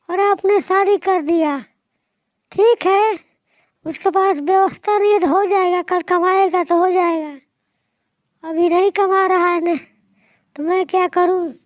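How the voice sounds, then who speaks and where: A woman plays a demanding young cartoon wife with an extremely high, childlike pitch. She complains and insists stubbornly, speaking slowly in a dry recording. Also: no room sound. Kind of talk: moral-story cartoon dialogue.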